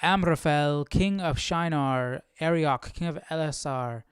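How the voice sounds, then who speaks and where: A man's voice: a little high, measured pace, clean tone, no room sound, studio quality. A man hosting a casual Bible study, reading aloud and informally thinking through it, often repeating himself and checking his understanding. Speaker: host of a live Bible study.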